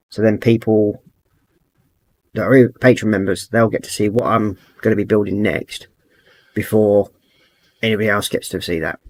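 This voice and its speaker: A middle-aged man with a croaky throat, chatting easygoing and rambling as he hosts a livestream, reading out messages from chat. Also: fairly low pitch, no echo. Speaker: livestream host. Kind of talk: casual livestream chat while painting models.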